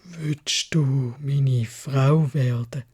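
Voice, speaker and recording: A gentle storybook beast played by a woman speaks Swiss German in a low, very slow voice. He is soft, courteous and heavy with sorrow, a lonely ugly lord of a castle. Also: fairly even intonation, breathy edge, full character voice. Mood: humble, tender. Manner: slow, halting, melancholy. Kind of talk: storybook fairy-tale character voice.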